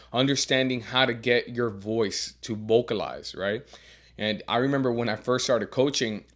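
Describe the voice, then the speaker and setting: A man who coaches strength and teaches as a professor, giving earnest career advice with a deeper voice and frequent repeated words as he restarts. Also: a bit bright. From Miami. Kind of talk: advice monologue.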